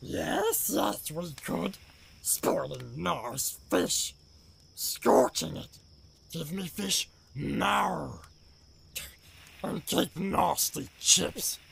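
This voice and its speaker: A man voicing a wretched, whiny old creature with a hissing, croaky voice in a dramatised audiobook. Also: fairly high pitch, expressive, drawn-out words, fairly bright tone, voice pushed up, heavily acted. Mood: sneering. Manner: pleading, repetitive, speaks of himself in third person.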